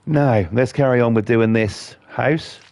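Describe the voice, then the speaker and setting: A man hosting casual gameplay commentary, blunt and defiant, his voice croaky from a cold. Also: medium pitch, a little slow, darkish tone, no room sound. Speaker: gaming channel host.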